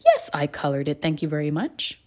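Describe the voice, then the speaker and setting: A woman's voice: deep voice, huge pitch swings, a little fast, dark tone. A woman voicing a proud, defensive crayon in a children's storybook, self-satisfied and dramatic. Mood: huffy. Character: proud, defensive crayons showing off their drawings. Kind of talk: children's storybook read-aloud.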